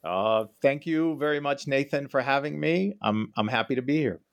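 A man's voice: medium pitch, expressive, normal speed, creaky. A middle-aged man from Israel, a history professor giving long explanatory answers in an interview.

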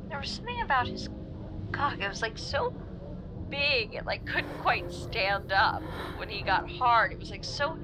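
Candid, animated woman confessing. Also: a little high, normal speed, background noise. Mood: candid, musing. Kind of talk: intimate recorded confession, audio drama.

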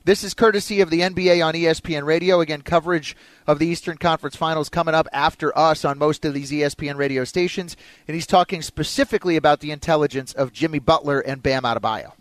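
Higher-voiced American sports radio host, dry studio sound. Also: male voice, fast talker, no echo. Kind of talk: lively sports talk radio discussion.

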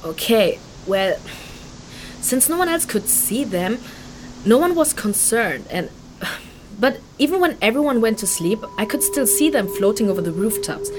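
An actress playing a chatty, candid human refugee in a fantasy audio drama, informal and expressive as she recalls things. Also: on the high side, moderate pace, background noise. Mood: thoughtful. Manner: informal, slangy, expressive, hesitant recollection.

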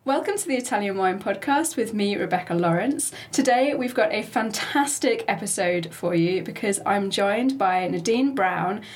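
Woman podcast host, measured and thoughtful. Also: medium pitch, lively intonation. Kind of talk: interview podcast.

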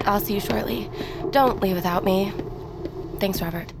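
An actress playing Hannah, a composed, weary but dutiful assistant, in naturalistic audio drama style. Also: female voice, medium pitch, normal speed, background noise, natural voice. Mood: tense, guarded.

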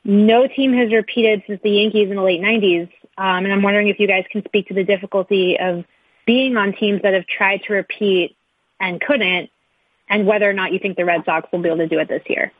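A woman's voice: medium pitch, steady tone, a little fast, husky, dry recording. A woman working as a sports reporter, asking a press question on a conference call. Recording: dry, tinny conference phone line.

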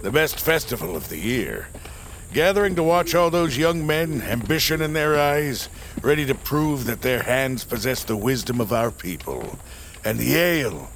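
Hearty old ale-loving mountain worker, fantasy audio drama, voice swoops around, bit of background noise. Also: male voice, mid-range voice, sing-song, moderate pace, a bit bright, rough recording. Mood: wistful, relishing. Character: jovial, ale-loving old mountain laborer, humble storyteller.

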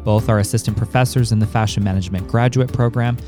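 A man reading a scripted podcast intro in a steady, formal way. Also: on the low side, quick pace. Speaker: podcast announcer. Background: music bed.

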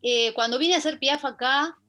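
A woman's voice: high-pitched, normal speed, pushed and bright, faint music behind. An Argentine woman, middle-aged, chatting as a guest in a radio interview about her stage career, full of fillers and restarts. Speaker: guest, musical theatre actress. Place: radio studio.

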